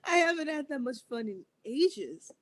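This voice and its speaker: A woman's voice: high voice, very animated, measured pace. A woman reading the part of a scheming teenage girl who begs her friend for a favor, at a table read.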